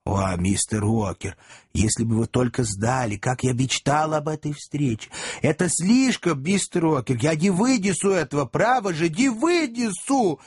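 A man voicing a sly male servant who gushes and weeps, in a high, quavering, drawn-out plea. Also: lively intonation, darkish tone, dry recording, studio quality, full character voice. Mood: gushing tearful.

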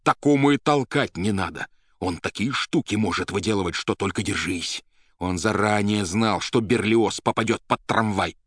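A man's voice: fairly low pitch, normal speed, fairly bright tone, dry recording, studio quality. A man voicing an agitated, half-crazed young poet in an audiobook, indignant and vehement, with colloquial speech. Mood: angry.

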